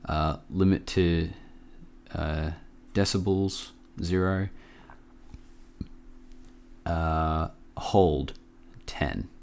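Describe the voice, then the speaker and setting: A man with a cold presents a casual software tutorial in an offhand, halting, low-key way, speaking close to the microphone. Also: steady tone. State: sick with a cough.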